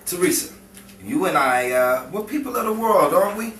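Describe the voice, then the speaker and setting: A man playing a scheming, pushy small-time crook in a 1950s crime melodrama, talking in halting, insistent persuasion. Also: high-pitched, lively intonation, fairly bright tone. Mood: coaxing. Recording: echoey, distant, noisy room recording.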